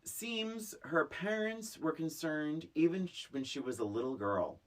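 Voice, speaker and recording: Female co-host, exceptionally low husky register, lively intonation, emphatic conversational delivery. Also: unhurried, rough recording. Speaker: podcast co-host. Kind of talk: casual chat reviewing a film.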